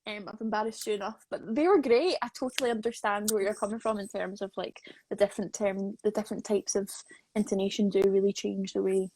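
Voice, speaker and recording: A woman hosting a casual live interview, playful and encouraging, with a husky voice. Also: medium pitch, steady tone, fast talker, a little mellow, dry recording, poor audio. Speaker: host, associate producer.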